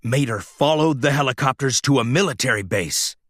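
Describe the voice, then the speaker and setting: A man's voice: mid-range voice, expressive, fairly quick, fairly bright tone, no room sound, studio quality. A man reading a children's storybook aloud as scripted narration. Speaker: storybook reader.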